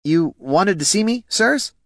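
A man's voice: high voice, animated, unhurried, fairly bright tone, no room sound, studio quality, voice pushed up, heavily acted. A man voicing a meek male underling in a fantasy audiobook, hesitant and deferential. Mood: timid, polite.

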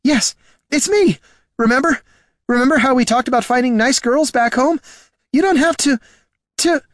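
Jittery young male soldier for fantasy audiobook; pitched way up, timid, dry close sound. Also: big pitch swings, normal speed, fairly bright tone, no echo, full character voice. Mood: desperate pleading. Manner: nervous, high-pitched pleading. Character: timid, anxious young male mercenary underling.

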